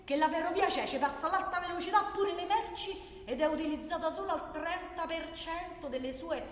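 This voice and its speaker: A woman's voice: very high voice, normal speed. An actress playing a feisty working-class woman with a Roman accent, badgering and exasperated, repeating herself in a theatrical radio monologue. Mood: heated, angry. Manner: exasperated, repetitive, colloquial, badgering. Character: feisty working-class woman arguing for high-speed rail. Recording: distant, echoey hall with noise.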